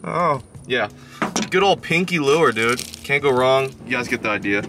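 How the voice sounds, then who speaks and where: A young adult man chatting casually to viewers in a slangy, excitable outdoor vlog style. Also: medium pitch, normal speed. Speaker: fishing vlogger. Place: outdoors beside a pond. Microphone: chest-mounted action camera or camera close in front. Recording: outdoor ambient noise on action camera.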